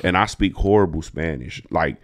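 A man's voice: low voice, moderate pace. A man who is a comedian guest, telling exaggerated stories casually with slang and swearing. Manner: profane, slangy, animated joking with exaggeration. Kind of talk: casual podcast storytelling.

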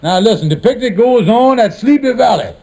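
High-voiced, scheming blustery radio con man. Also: expressive, brisk, darkish tone, exaggerated voice. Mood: irritated, defiant. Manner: blustery, hesitant when plotting. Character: scheming, blustery lodge leader and small-time con man. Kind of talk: 1950s radio comedy acting. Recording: noisy vintage 1950s radio recording.

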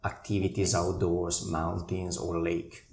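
A man with a very deep, gravelly voice answers interview questions. He talks in a relaxed way, stopping to correct himself. The room is echoey, with a faint hiss behind him. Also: unhurried. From northern Italy. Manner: relaxed, recalls his past with fillers and restarts. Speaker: guest painter.

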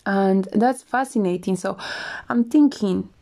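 Smoky-voiced woman, husky after a flu. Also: unhurried. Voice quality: smoky, low voice. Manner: reflective, chatty, with soft laughs and restarts. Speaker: podcast host. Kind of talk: casual solo podcast monologue.

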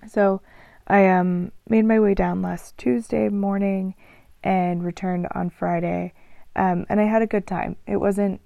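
Gravelly, flat-voiced woman vlogger. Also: medium pitch, a little slow, no room sound. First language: English. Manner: relaxed, rambling, frequent ums and restarts. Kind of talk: casual travel vlog chat. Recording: dull, lo-fi, dry recording.

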